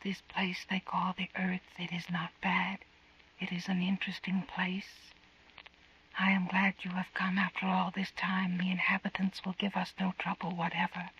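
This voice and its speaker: An actor voicing an alien intelligence from beyond the stars in an old radio drama, speaking in a flat, condescending, unvoiced whisper. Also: medium pitch, moderate pace, slightly rough. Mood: cold, superior. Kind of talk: 1940s radio drama acting. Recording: dull distant old radio recording.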